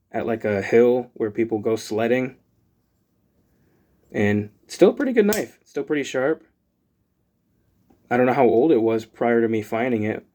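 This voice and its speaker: A man, chatting casually as he unboxes items for his channel, rambling with lots of fillers. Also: fairly low pitch. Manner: rambling, filler-heavy, relaxed. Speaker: channel host.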